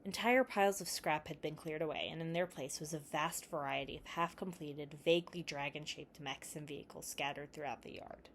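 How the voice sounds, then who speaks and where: Low-pitched woman reading a story aloud. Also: steady tone, fairly quick, hardly any pauses, a little hiss or hum. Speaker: fan fiction reader. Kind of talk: scripted story narration with acted dialogue.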